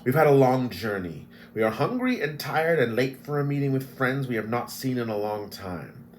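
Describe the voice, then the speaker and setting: A man voicing a calm male half-elf warrior who speaks quietly and evenly. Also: mid-range voice, normal speed, a little hiss or hum, pitched above the performer's own voice, lightly acted. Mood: firm. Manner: quiet and level.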